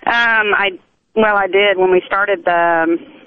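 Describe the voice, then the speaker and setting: A woman's voice: mid-range voice, steady tone, unhurried, no echo. A woman speaks over a thin, narrow phone line to a radio show. She talks casually, circling through her explanation with hesitations. The sound is dry, with no sense of a room. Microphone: telephone.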